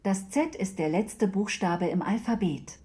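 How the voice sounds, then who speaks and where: Female actor as a smart-home assistant: mellow tone, neutral, measured, emotionless, precise radio-play answers. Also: medium pitch, close to an everyday voice. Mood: neutral, polite.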